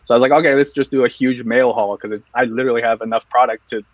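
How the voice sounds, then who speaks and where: A man's voice: mid-range voice, fairly even intonation, racing, no echo, highs cut off. A man guesting on an interview, talking casually and loosely with lots of restarts and fillers. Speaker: guest, EDC accessory reviewer.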